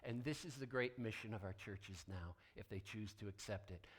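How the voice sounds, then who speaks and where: A man preaching a sermon as a pastor, deliberate and emphatic, with dramatic pauses and hushed drops. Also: on the low side.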